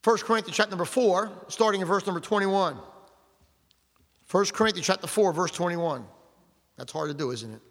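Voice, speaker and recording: An older man preaches with fervor in a high, lifted voice, leaning hard on each verse and slowing to stress the scripture. His words ring out with a long echo through a large hall. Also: vocal fry. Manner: fervent preaching, slows and stresses scripture readings, asks the congregation questions. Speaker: pastor. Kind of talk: sermon. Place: church sanctuary.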